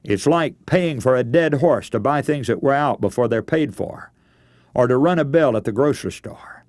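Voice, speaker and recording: A man's voice: medium pitch, lively intonation, normal speed, a little mellow, no room sound. A man preaching a radio sermon, giving practical advice in an earnest, instructive way. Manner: earnest, instructive, addresses listeners as friends.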